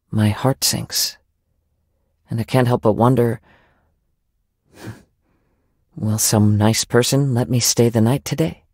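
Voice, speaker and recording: A young man playing a gentle, poetic wandering samurai in a video game, soft-spoken and reflective, with formal, measured phrasing. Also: medium pitch, gravelly, no room sound, studio quality. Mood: wistful. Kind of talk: video game character voice lines.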